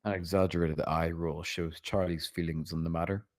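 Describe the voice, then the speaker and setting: A man's voice: low-pitched, flat delivery, moderate pace. A man reading out stage directions as narrator at a script table read.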